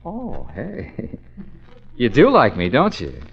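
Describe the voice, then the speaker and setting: An actor playing an exasperated but earnest private detective in a 1940s radio drama, talking in a wry, urgent, conversational way. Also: male voice, medium pitch, huge pitch swings, takes it slowly, creaky, highs cut off, heavily acted.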